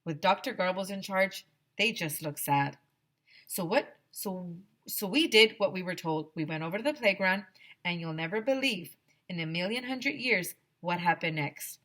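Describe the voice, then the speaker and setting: A woman teacher reading a children's story aloud in an expressive, dramatic, emphatic storytelling manner. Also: on the low side, normal speed.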